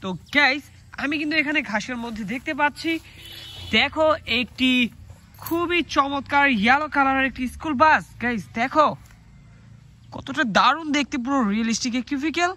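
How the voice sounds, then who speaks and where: A host presenting a children's toy video to viewers in an excited, exclamatory way. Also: sits high, brisk. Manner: exclamatory, showing items to the audience.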